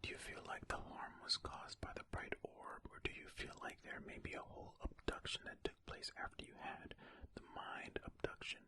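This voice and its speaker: An ASMR host speaking in a breathy, unvoiced whisper, reading comments aloud and musing on them with casual asides. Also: normal speed, pushed and bright.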